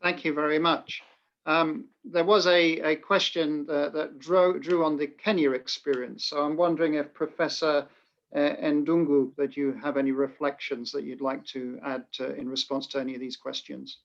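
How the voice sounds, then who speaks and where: Guy moderating a webinar, lots of uhs, bit high voice, muffled call. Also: little pitch movement, a bit bright. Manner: halting, many filled pauses. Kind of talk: moderating a panel. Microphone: video call. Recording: muffled video call audio.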